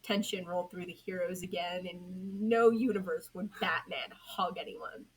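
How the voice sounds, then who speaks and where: A woman's voice: fairly low pitch, steady tone, moderate pace, breathy edge, poor audio. A woman reading scripted fan fiction narration as an amateur narrator, occasionally stumbling over words.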